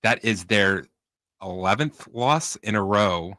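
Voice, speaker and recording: A man's voice: lowish voice, lively intonation, takes it slowly, bright, forceful tone, no room sound. An American man co-hosting a sports talk show, casually reading out stats and chatting. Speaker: sports radio co-host.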